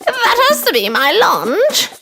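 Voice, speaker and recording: A woman's voice: very high voice, wildly swooping pitch, slow pace, voice pushed up, heavily acted. A woman voicing a hungry, determined chicken in a children's story, exaggerated and taunting. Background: loud music.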